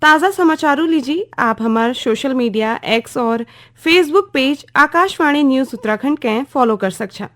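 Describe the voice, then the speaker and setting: High-voiced Kumaoni woman radio announcer. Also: lively intonation, moderate pace. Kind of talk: scripted station announcement.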